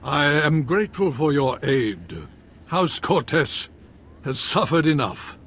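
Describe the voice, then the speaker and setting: An actor playing a proud nobleman baron in a video game, with a husky voice and a stately, dramatic, formal manner. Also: fairly high pitch, expressive, takes it slowly, a bit bright, a little hiss or hum, heavily acted. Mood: weary, formal.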